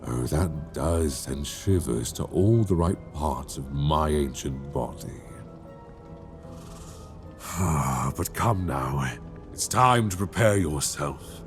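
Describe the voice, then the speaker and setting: A man voicing a towering, ancient, possessive male dragon in a binaural ASMR roleplay, talking slowly and intimately, coaxing with an edge of menace. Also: very deep voice, music in the background. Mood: seductive. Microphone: binaural mic.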